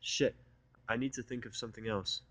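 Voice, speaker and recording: A man's voice: medium pitch, steady tone, fairly quick, pushed and bright, no echo. A man narrating first-person fiction with a sardonic, irritable delivery. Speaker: audiobook narrator.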